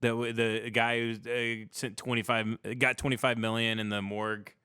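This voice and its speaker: A man in casual comedic conversation, musing in a halting, wry way. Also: mid-range voice, flat delivery, pushed and bright, smooth, dry recording, studio quality.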